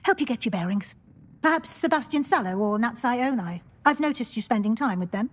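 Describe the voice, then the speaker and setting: A woman's voice: a little high, animated, fairly quick. A woman playing a stern, formal, authoritative wizard-school professor in a video game. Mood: brisk, stern.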